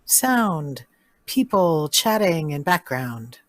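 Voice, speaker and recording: A woman reading out the sound effect cues for a live radio play, flat and deliberate. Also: sits low, music in the background.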